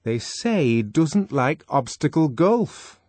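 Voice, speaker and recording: A man's voice: on the high side, sing-song, takes it slowly, a little mellow, dry recording, voice pushed up, full character voice. A man voicing a prim, lecturing young woman in an audiobook reading. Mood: shocked. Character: stern woman, a conformist friend.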